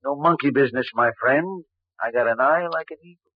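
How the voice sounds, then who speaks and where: A man's voice: medium pitch, brisk, close to an everyday voice. An American actor playing a shrewd, folksy small-town lawman in old-time radio drama, drawling, dry and probing. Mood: sly, accusing. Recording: dull, muffled old radio recording.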